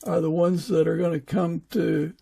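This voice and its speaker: Male interviewer, slightly high, heavy fry in the voice. Casual, halting delivery. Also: flat delivery, dark tone. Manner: hesitant, halting, chuckles between phrases. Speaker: podcast host.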